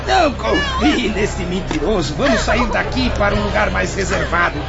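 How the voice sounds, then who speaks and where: A man's voice: high-pitched, lively intonation, moderate pace, creaky. An actor playing a coarse, lecherous male bully in a radio drama, jeering and threatening. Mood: sly, pushy. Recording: muffled audio under loud music and crowd.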